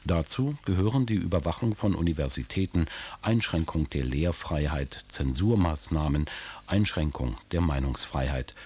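A man giving measured, documentary-style scripted narration on history for a radio drama. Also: extremely low pitch, a little mellow, slight background noise.

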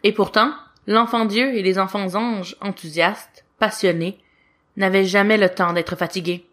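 A woman narrating a story as an audiobook reader, with expressive storytelling delivery. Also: mid-range voice, measured pace. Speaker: audiobook reader of fan fiction.

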